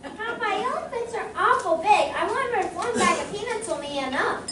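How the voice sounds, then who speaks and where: A woman's voice: very high-pitched, animated, a little fast, crowd noise. A woman playing a sweet, curious, eager little kid in an old radio comedy. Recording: echoey stage, far mic, clear noise.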